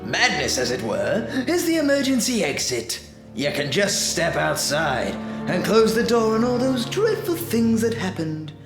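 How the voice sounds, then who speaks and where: Mad villain clown, gleeful menace, grandiose, highly animated, echoey amateur radio drama, prominent music. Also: male voice, unhurried, heavily acted. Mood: gleeful, menacing. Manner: grandiose, taunting, breaking into cackles. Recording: echoey amateur recording under loud music.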